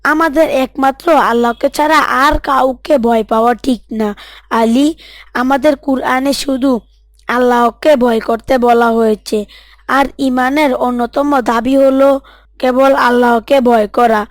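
Schoolboy, played by a woman: high voice, devout, polite, principled, gently preaching, close dry sound. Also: fairly even intonation, normal speed, no echo, lightly acted. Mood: earnest. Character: devout, earnest schoolboy Abu Rayhan, bold and principled.

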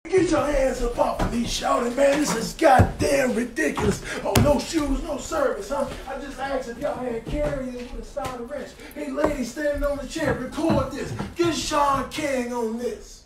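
A man's voice: very high voice, fairly even intonation, normal speed, few pauses, reverberant, far from the mic, music in the background, voice pushed up. A man voicing an indignant animal in a comedy voiceover, protesting at being grabbed. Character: indignant animal being manhandled, shrill loud complainer.

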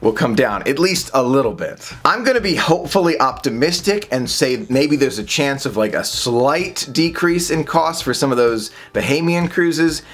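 A man's voice: medium pitch, lively intonation, normal speed, hardly any pauses, a bit bright. A man in his thirties talking casually to the camera from a small home office, upbeat and chatty, gesturing as he speaks. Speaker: vlogger.

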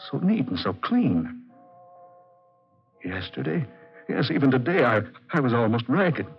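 Slow halting speech, tormented weary man, intense, dramatic pitch swings, old radio drama, dull sound. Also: gravelly, heavily acted. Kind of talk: 1940s radio acting. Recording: dull 1940s radio recording, music behind.

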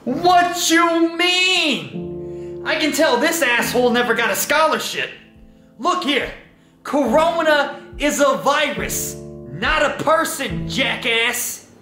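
A man's voice: extremely high pitch, animated, slow pace, over music, exaggerated voice. A man voicing an arrogant, pompous laid-off male surgeon who talks in a condescending, sneering way. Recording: echoey room.

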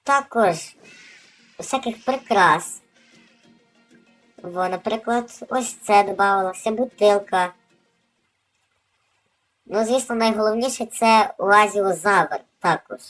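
A woman's voice: mid-range voice, slow, long pauses, fairly bright tone, faint music behind, poor audio. A woman hosting a gaming video with casual, off-the-cuff gameplay commentary.

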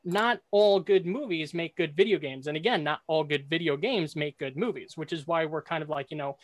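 A man's voice: fairly high pitch, a little fast, no echo. A man in a casual debate on a podcast, circling back over his phrases as he argues.

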